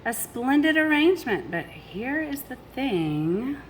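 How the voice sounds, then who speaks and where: A woman's voice: sits high, sing-song, measured pace, a little hiss or hum. A woman reading a picture book aloud to children expressively, addressing young listeners directly with chatty asides. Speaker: storybook reader.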